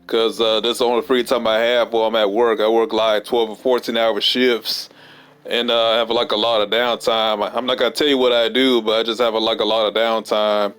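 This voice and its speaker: A man vlogging casually at work, hoarse from a cold, talking in halting runs full of fillers and restarts. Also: lowish voice, flat delivery, fairly bright tone. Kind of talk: casual rambling monologue. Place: at work during downtime.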